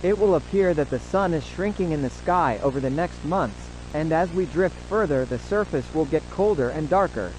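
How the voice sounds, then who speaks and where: A man playing a formal, solemn government announcer, reading a scripted emergency broadcast in a measured way. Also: fairly high pitch, expressive, a little mellow, slight background noise, natural voice, low-quality recording. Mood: solemn, instructive.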